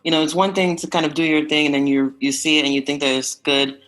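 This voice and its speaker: A man's voice: fairly high pitch, little pitch movement, fast, fairly bright tone, faint music behind, poor audio. A man hosting an interview podcast, responding encouragingly to his guest and asking questions. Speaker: podcast host. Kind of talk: interview conversation.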